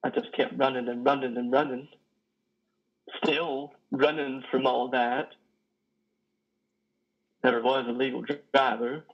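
Man playing a husky, lonely trucker. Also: medium pitch. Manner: halting, stammering, folksy. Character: lonely, guilt-ridden, worn-out male trucker confessing. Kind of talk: online play reading. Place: living room, on a sofa. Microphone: headset on video call. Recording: dull video call audio.

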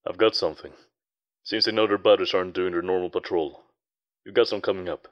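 A man's voice: low voice, steady tone, fairly quick, dull audio, lightly acted. A man acting in an audio roleplay as a loyal spy handler, clipped, matter-of-fact and dryly wry. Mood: focused, clipped.

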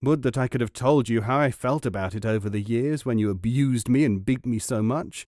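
Young highborn trickster student for a storybook, acted by a man, earnest, formal and sanctimonious, dry close recording. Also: fairly quick, a little mellow, no room sound, natural voice. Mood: pleading. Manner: earnest, pleading, formally polite. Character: sly young nobleman student, pious, earnest, falsely wronged. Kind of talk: storybook character reading.

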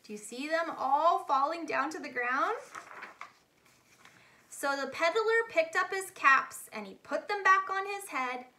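A high-voiced woman reads a children's story aloud. Her delivery is slow and expressive, with a bright tone aimed at young listeners. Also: a little hiss or hum. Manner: animated, deliberate, addressing young listeners. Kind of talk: expressive picture-book read-aloud.

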